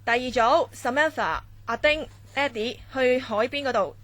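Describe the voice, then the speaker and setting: Woman as bright-voiced camp instructor. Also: high voice, normal speed. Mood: businesslike. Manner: explaining tasks, chatty fillers. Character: brisk female training-camp assistant instructor. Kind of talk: Cantonese radio drama acting.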